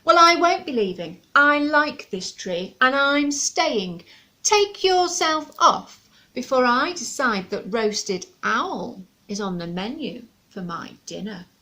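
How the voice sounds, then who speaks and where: A woman voicing a huge, stubborn male dragon who speaks loudly and slowly with snarling threats. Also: high voice, big pitch swings, pitched above the performer's own voice, full character voice. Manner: loud, slow, growling threats.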